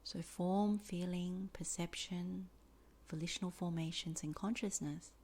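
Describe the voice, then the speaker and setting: Female meditation teacher, slightly low register, soft and breathy at phrase ends, measured and deliberate delivery. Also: fairly even intonation. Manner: slow, deliberate phrasing with many pauses. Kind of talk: guided meditation instruction.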